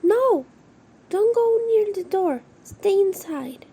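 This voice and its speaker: A woman's voice: very high-pitched, lively intonation, takes it slowly, mellow, full character voice. A woman acting a protective, anxious farmer grandmother in an amateur radio drama.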